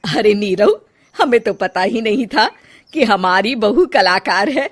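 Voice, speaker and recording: A woman playing an older mother-in-law in a story, bossy yet motherly, with a loud, exclaiming, familiar manner. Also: medium pitch, lively intonation, unhurried. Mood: warm, admiring.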